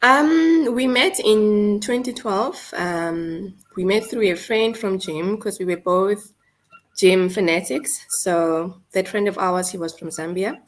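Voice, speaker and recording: A woman in her thirties with a South African accent, telling a painful personal story in a halting, hesitant way. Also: medium pitch. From South Africa, North West. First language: Setswana. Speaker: guest. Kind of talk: emotional personal account.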